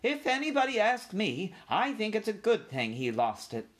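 A man's voice: high-pitched, huge pitch swings, normal speed, fairly bright tone, pitched above the performer's own voice, heavily acted. A man voicing a fussy, fretful old aunt mouse who scolds, in a children's story. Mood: disapproving.

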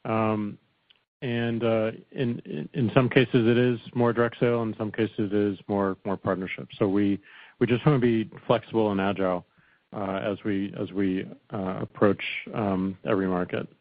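A man with a low voice answers like an executive on a conference call. His phrasing is halting, with repeated words and pauses to think, and the sound is dry and thin, as through a narrow phone line. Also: little pitch movement, no echo. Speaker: company executive answering analysts. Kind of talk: earnings call remarks, unscripted.

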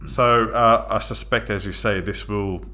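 A man's voice: on the low side, steady tone, a little slow, bright, forceful tone. A man hosting an interview, asking polite, structured questions. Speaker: podcast host. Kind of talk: interview conversation.

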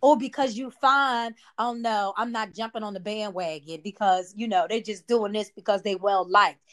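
A woman's voice: mid-range voice, moderate pace, fairly bright tone. A woman co-hosting a casual chat, making her points emphatically and drawing responses in a preachy call-and-response way.

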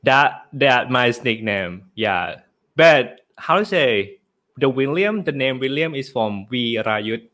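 A man's voice: mid-range voice, animated, slow, fairly bright tone. Thai-accented man searching for words. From Thailand. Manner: searching for words, hesitant, often says "how to say". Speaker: Thai teacher and translator, guest. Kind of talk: casual podcast chat.

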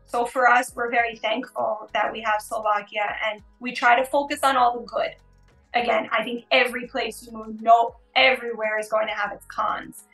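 A woman's voice: on the high side, little pitch movement, normal speed, fairly bright tone, reverberant, faint music behind, rough recording. A woman with an American accent giving conversational answers as a podcast interview guest. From United States.

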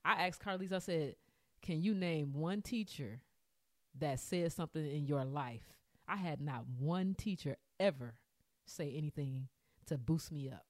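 A woman's voice: low voice, a little slow, a little mellow, dry recording, studio quality. A woman in her forties, a podcast guest, speaking reflectively and earnestly with frequent restarts. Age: 40s. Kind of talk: casual group conversation.

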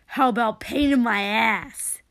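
Storybook role: erratic, taunting young man with a venomous edge, slowly paced, performed by a woman. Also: a little high, big pitch swings, heavily acted. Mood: snapping, taunting. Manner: erratic, swinging from hissed and dreamy to sharp and snapping. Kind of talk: dramatic audiobook-style character reading.

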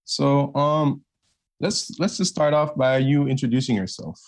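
A man's voice: medium pitch, fairly even intonation, measured pace. Male podcast host, mid-range voice, laid-back and conversational with his guest. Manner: casual, laid-back questioning. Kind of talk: casual interview hosting.

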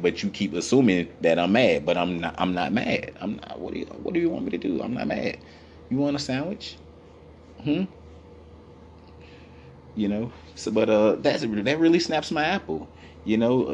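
A man with a naturally deep voice delivering a rambling, repetitive, profane comedic rant, full of fillers. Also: a little mellow, slight background noise.

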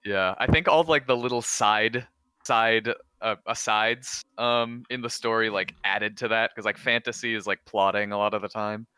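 A man's voice: lowish voice, moderate pace, pushed and bright, clean tone, no echo. A man chatting casually as a panelist on a podcast. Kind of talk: casual chat.

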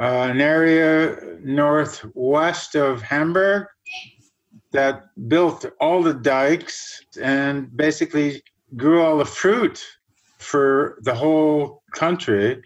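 A man in his sixties, born in Germany, answering interview questions as a guest scientist in a measured, reflective way. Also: fairly high pitch, lo-fi sound. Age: 60s. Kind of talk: podcast interview.

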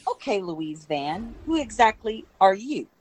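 A woman's voice: medium pitch, sing-song, a little slow, a little mellow. A woman playing an edgy, eccentric woman, guarded yet frank, in an audio drama. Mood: probing. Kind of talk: amateur audio drama dialogue.

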